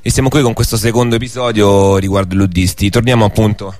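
A man's voice: lowish voice, fairly even intonation, fast, darkish tone, dry recording. A man hosting a radio programme, narrating a scripted historical documentary. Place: radio studio. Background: music.

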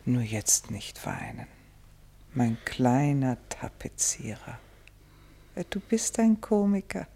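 An actress playing a gravely ill older woman in a radio play, tender and wry, her voice weak and breathy. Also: extremely low pitch, big pitch swings, slow pace, dark tone, heavily acted. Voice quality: husky, whispery, creaky. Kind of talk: intimate radio drama acting.

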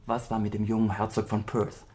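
An actor playing an earnest, morally concerned gentleman painter in a radio drama, with an urgent, formal way of speaking to his friend. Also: on the low side, completely flat delivery, a little fast, natural voice. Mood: grave, insistent.

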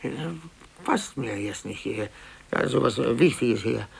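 An actor playing a browbeaten, blustering, middle-aged office clerk who stammers and gets flustered, in a 1950s German radio play. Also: male voice, medium pitch, lively intonation, measured pace, creaky, breathy edge, exaggerated voice. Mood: flustered, evasive. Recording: hissy 1950s radio recording.

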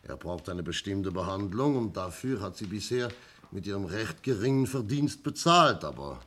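An actor playing a stern, deliberate police inspector who speaks formally and probingly, in a German 1960s radio play. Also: male voice, low-pitched, expressive, a bit bright. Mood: stern, measured. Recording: slightly dull 1960s radio recording.

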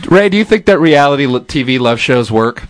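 A young man hosting a college radio talk show in a studio, loud and full of jokes at his own expense. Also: medium pitch, animated, a little fast. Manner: loud, joking, self-mocking. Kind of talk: casual studio banter.

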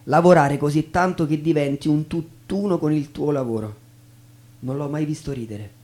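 Downbeat self-pitying young man, played by a stage actor, mellow dark voice, sardonic, theatrical dialogue. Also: on the high side, moderate pace, natural voice. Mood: resigned, reflective. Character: dejected, self-pitying young male loser, bitterly resigned.

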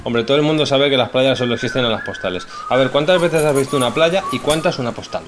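A man's voice: mid-range voice, fast, music in the background, natural voice. A man playing an ordinary, laid-back guy giving colloquial opinions in a mock vox-pop for radio fiction. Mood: casual.

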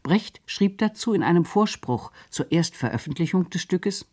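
A man reading a scripted radio documentary narration in a measured, formal way. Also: high-pitched, lively intonation, darkish tone.

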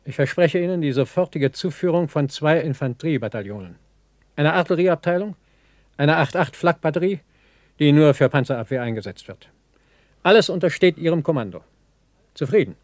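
An actor playing a brisk lieutenant general in a 1960s German radio play, speaking in a clipped, authoritative military manner. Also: male voice, fairly high pitch, expressive, darkish tone, no echo, studio quality, close to an everyday voice. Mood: brisk, commanding. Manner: clipped, authoritative, matter-of-fact military delivery.

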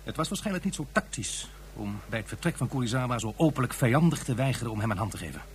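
A man voicing a tactful male adviser, radio drama, middle-pitched, faint noise. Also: very animated, close to an everyday voice. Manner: measured and tactful. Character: cautious, talkative male professor and adviser. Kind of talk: radio play acting.

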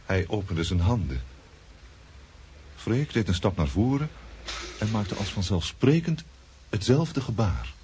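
A low, dark, mellow male voice, as a thoughtful witness in a literary radio drama. He sounds earnest and measured, with faint noise behind him. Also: big pitch swings. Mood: grave, dramatic.

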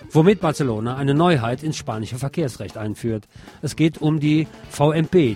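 Man, mid-pitched voice, scripted radio news, opinionated asides, faint music behind. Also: darkish tone.

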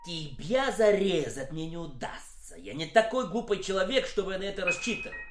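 A woman playing a solemn, dark dramatic figure, reciting dialogue in a radio play. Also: on the low side, sing-song, brisk. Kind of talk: radio drama recitation in Russian over music. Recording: echoey, music underneath. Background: music.

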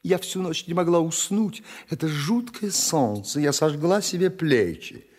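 A man's voice: fairly high pitch, animated, measured pace, a little mellow, voice pushed up. A man voicing a flirtatious, fretful society lady on a ship, with an affected, languidly complaining manner, in a literary stage monologue.

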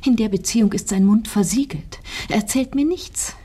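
An actress playing an anxious, self-controlled aristocratic wife in a German radio drama, pleading formally in a breathy, hushed voice. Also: female voice, mid-range voice, normal speed, natural voice. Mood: earnest, anxious. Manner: formal, earnest.

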